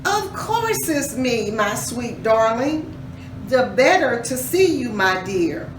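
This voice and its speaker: A woman's voice: fairly high pitch, sing-song, slow. A woman voicing a sly, hungry male storybook wolf who coaxes sweetly while posing as a granny. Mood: falsely sweet. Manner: coaxing, falsely sweet. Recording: roomy echo, distant mic, faint noise.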